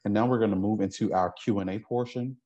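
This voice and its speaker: A man's voice: lowish voice, fairly even intonation, fast, warm tone, dull audio. A man in his thirties moderating a webinar Q and A on a video call, friendly and with many ums. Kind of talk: hosting a webinar Q and A.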